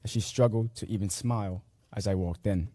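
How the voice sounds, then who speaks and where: Earnest teenage boy, low mellow voice. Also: fairly even intonation, dry recording. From Guatemala. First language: Spanish. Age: late teens. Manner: earnest, measured delivery in short phrases. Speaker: graduating student speaker. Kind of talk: reading a personal essay aloud at a graduation. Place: school commencement ceremony.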